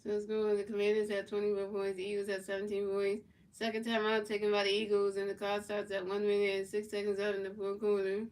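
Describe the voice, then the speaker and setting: Flat-voiced woman streamer calling a football game. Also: mid-range voice, distant mic, rough recording. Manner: announces plays in a measured, even delivery; talks back to chat in casual slang. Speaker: streamer playing and commentating a football video game. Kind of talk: play-by-play game commentary mixed with chat replies.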